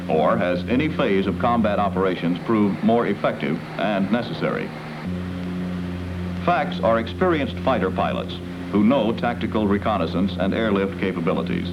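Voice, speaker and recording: A man reading scripted 1960s military documentary narration in a formal, authoritative way. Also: low-pitched. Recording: dull, noisy vintage film soundtrack.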